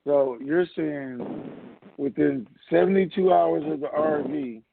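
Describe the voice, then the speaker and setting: A man's voice: on the high side, takes it slowly, vocal fry, crowd noise. A man hosting an informal question-and-answer conference call over the telephone. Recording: muffled narrowband phone line.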